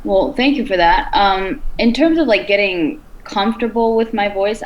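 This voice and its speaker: A woman singer with a raspy, rugged voice, chatting as a podcast guest, loose and full of "like" and "you know". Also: fairly low pitch, poor audio. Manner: relaxed, many fillers like "like" and "you know". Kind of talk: casual interview talk.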